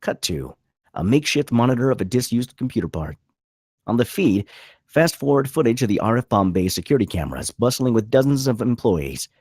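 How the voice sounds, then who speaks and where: A man's voice: mid-range voice, sing-song, a little fast, darkish tone, husky, no room sound, clean studio sound. A man reading scripted stage directions as the narrator of a screenplay.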